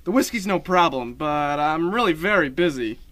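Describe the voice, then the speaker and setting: A man's voice: fairly high pitch, expressive, moderate pace, a bit bright, no room sound. A young man playing a curt, dismissive man in a 1930s noir radio drama. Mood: brusque. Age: young adult. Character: brusque, dismissive man, haughty boss or busy bartender.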